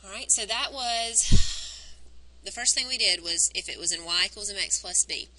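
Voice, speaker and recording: A woman teacher recording a math lesson on a wired mic, explaining each step and counting points aloud, her voice worn and going hoarse. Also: mid-range voice, normal speed, bright, forceful tone, poor audio. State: losing her voice. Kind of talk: recorded math lesson, working through graphs. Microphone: wired microphone on a cord.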